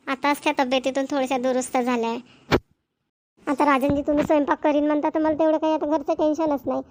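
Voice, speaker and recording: A woman voices a young bride from a cartoon comedy in a very high register. She is considerate and affectionate toward her family, and talks with the Varhadi accent of Vidarbha. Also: a little hiss or hum, close to an everyday voice. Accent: Varhadi Marathi, Vidarbha. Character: caring, dutiful young newlywed wife.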